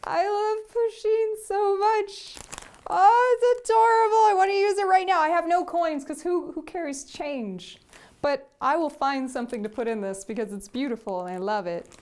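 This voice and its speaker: A woman's voice: extremely high pitch, normal speed, darkish tone. A young woman chatting casually as she opens fan gifts, gushing and repeating herself. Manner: gushing, repeats "sweet" often. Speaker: vlogger. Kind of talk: casual gift unboxing chat.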